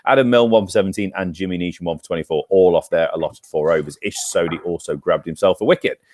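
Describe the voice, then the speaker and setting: A man's voice: deep voice, fast, darkish tone, no echo. A man co-hosting a cricket podcast, chatty and teasing as he reels off match stats. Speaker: podcast co-host. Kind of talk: casual cricket chat and match recap.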